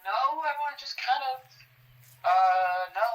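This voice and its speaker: Man plays defiant, excitable occult researcher, bright forceful tone, lively swings, sardonic halting blurts, horror audio drama. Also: high-pitched, poor audio. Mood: flustered. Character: excitable, sweary, defiant male researcher of cursed artifacts. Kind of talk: horror roleplay dialogue on a call.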